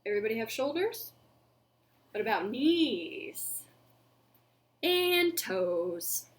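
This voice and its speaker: A young woman with a high voice hosts storytime for small children. She speaks slowly and deliberately, with an animated storytelling delivery. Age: young adult. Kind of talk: children's storytelling and action songs. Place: living room at home.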